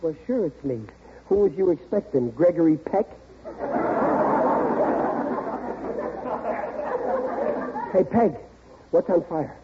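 A man's voice: a little high, animated, normal speed, over a noisy crowd, heavy background noise, natural voice. An actor playing a suspicious, blustering middle-aged American working-class father in a 1940s radio sitcom, grumbling in ungrammatical speech. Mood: puzzled, gruff. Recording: tinny old radio broadcast recording.

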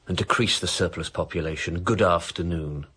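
A man playing a harsh, miserly old moneylender with a raspy, barking voice in an amateur radio play. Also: deep voice, normal speed, bright, forceful tone, pitched below the performer's own voice, heavily acted. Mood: gruff. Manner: gruff, bellowing orders.

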